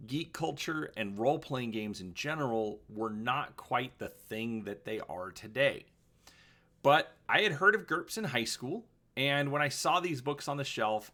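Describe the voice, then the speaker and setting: Male host, 40s, animated and bright, chatty show-and-tell delivery. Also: mid-range voice. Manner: chatty, with fillers and restarts. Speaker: host of a gaming channel. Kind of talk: casual show-and-tell talk.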